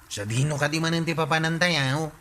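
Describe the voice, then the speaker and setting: Man as nosy ilocano village buddy, kinda high voice, bright n punchy, comedy drama, ilocano accent. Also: fast talker, slight background noise, natural voice. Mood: curious.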